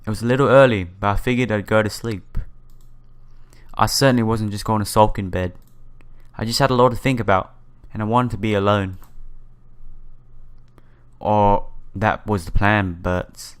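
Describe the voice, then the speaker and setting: A man's voice: on the low side, fairly even intonation, normal speed, long pauses, smooth. A man hosting a casual let's-play, reading game text aloud and adding informal asides. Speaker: gaming video host. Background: soft game music.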